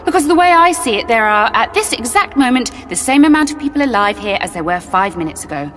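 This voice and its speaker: An actress in an audio drama playing a hard-headed, pragmatic companion who argues and challenges. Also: female voice, a little high, lively intonation, fast talker, a bit bright, slight background noise. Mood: defiant. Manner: challenging, argumentative.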